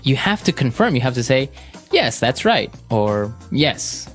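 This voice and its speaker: Male podcast co-host, easygoing teacher, mid-pitched, faint music behind. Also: a little slow. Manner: relaxed, affirming with frequent mm-hmms. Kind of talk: casual teaching chat.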